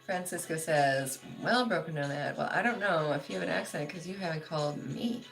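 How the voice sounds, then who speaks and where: Gravelly, chatty woman livestreaming. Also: deep voice, fairly quick, soft background music, low-quality recording. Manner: chatty, laughs often, reads comments aloud. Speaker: livestream host reading chat. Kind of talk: casual live chat.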